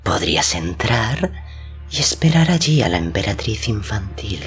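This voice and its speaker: A man voicing a scheming, seductive sorceress who flatters with servile, coaxing, hushed words in an audiobook fantasy tale. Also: lowish voice, gravelly, husky, faint music behind, pitched above the performer's own voice, full character voice. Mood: insinuating, flattering. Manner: slow, hushed, coaxing delivery.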